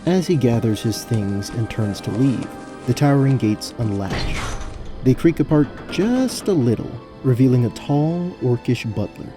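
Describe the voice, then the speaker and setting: A man narrating a scripted fantasy tabletop story as game master in a measured, descriptive way. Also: medium pitch, lively intonation, music in the background.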